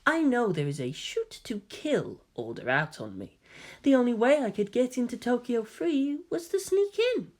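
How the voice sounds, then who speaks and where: A woman voicing a coy, androgynous hybrid youth with genteel, gently teasing politeness and quiet menace. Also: fairly high pitch, big pitch swings, normal speed, voice pushed up. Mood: polite, calculating.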